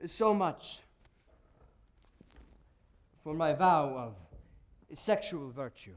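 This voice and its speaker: A man's voice: a little high, expressive, drawn-out words, a little mellow. A man playing a bitter, scheming court composer who tells his tale in grand, confiding stage declamation. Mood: wry confession. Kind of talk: theatrical stage monologue.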